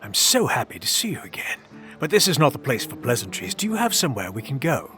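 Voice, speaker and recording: An actor playing a composed, polite European traveler with dry wit and a measured, understated manner in an audio drama. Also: male voice, medium pitch, big pitch swings, fairly bright tone, faint music behind. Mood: pleased, guarded.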